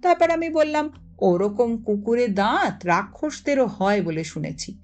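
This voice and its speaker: A woman's voice: on the high side, animated, unhurried. A woman voicing a teasing, evasive older village lad in a storybook reading, with an offhand way of contradicting. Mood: dismissive. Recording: music under the voice.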